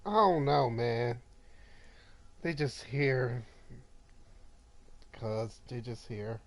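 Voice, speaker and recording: A man casually commenting over his gameplay, talking in a halting way with many fillers and restarts. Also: mid-range voice. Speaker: gameplay streamer.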